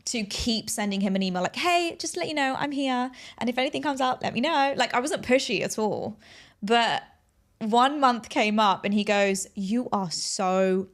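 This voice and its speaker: A woman's voice: fairly high pitch, expressive, normal speed. A woman speaking as an interview guest, telling personal stories conversationally in an earnest, emphatic, motivational way. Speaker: interview guest, aspiring TV presenter telling career story.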